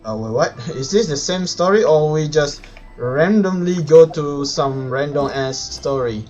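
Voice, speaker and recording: Man, casual game streamer, excitable, repetitive, lo-fi dull mic, faint background music. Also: fairly high pitch, measured pace. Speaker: live streamer playing a video game. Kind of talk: casual game livestream reaction.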